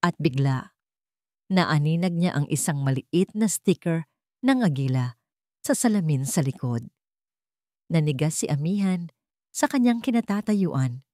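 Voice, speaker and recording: A woman narrating a scripted audio drama in a measured, suspenseful storytelling manner. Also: sits low, lively intonation, mellow, dry recording, studio quality.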